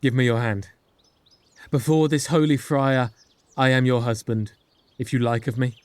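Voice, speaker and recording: A man's voice: medium pitch, fairly even intonation, moderate pace, natural voice. An actor playing a solemn, penitent young nobleman in a Shakespeare radio play, speaking verse formally. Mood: resolved. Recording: echoey space with plucked guitar music.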